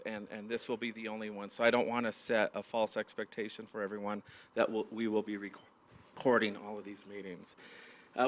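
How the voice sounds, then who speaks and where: A man addressing a big audience in a meeting room, his voice worn and going in and out. He talks in a halting way, full of fillers and restarts. His pitch sits in the middle range for a man. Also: fairly bright tone. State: losing his voice. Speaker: county manager hosting a staff meeting. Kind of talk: opening remarks to a large audience.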